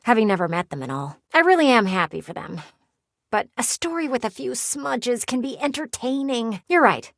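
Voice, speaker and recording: A nosy, dramatic female house window from a video game. She shares gossip in a conspiratorial, sassy tone, with lively swings of pitch. The sound is dry and close. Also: normal speed, vocal fry, no echo. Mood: wounded, defensive. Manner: conspiratorial, theatrical storytelling with teasing asides.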